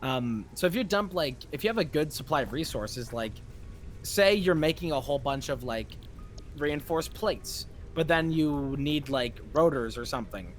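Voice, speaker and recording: A woman co-streaming a game, explaining mechanics in quick, rambling bursts and swearing casually. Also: deep voice, expressive, soft background music. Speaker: co-streamer guest. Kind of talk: casual gaming stream chat.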